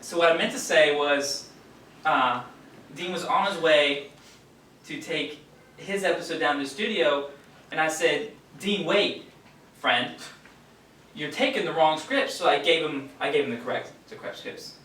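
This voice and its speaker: A man acting in a stage play as a placating radio station underling, earnest and halting. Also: a little high, pushed and bright. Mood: nervous, evasive. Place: indoor theater stage, picked up from afar. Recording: echoey stage, distant mic, faint noise.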